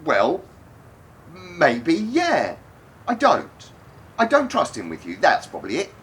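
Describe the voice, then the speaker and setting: An actor playing a jealous, stubborn boyfriend in a radio play, defensive and halting when pressed. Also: male voice, fairly high pitch, wildly swooping pitch, a bit bright, husky, exaggerated voice. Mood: reluctant, evasive. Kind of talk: naturalistic radio play acting.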